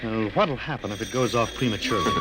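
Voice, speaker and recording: A man's voice: fairly low pitch, animated, fast, natural voice. An actor playing a persistent male newspaper reporter in an old-time radio drama, asking probing questions. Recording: noisy vintage radio drama recording.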